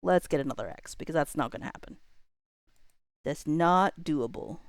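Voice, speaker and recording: A woman's voice: deep voice, lively intonation, moderate pace, dry recording, clean studio sound. A woman livestreamer chatting casually with her viewers, thinking aloud in a laid-back, slangy, informal way. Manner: informal, laid-back, slangy, muttering to self while working. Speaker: livestreamer hosting a chatbot roleplay stream.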